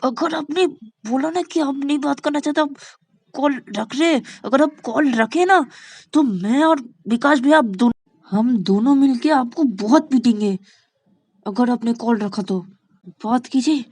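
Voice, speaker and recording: A woman's voice: very high-pitched, animated, drawn-out words, no room sound, voice pushed up, exaggerated voice. A woman voicing a pushy younger brother in an audio story, urging and threatening his sister in a scolding, urgent manner.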